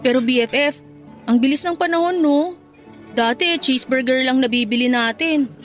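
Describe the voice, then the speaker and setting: Isang babaeng aktres na gumaganap bilang palabiro at mapagpasalamat na dalagang matalik na kaibigan sa dramang panradyo. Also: female voice, high-pitched, moderate pace, lightly acted. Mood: teasing, light. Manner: teasing, expressive. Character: playful, grateful young career woman, best friend. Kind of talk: Tagalog radio drama dialogue, conversational. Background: music.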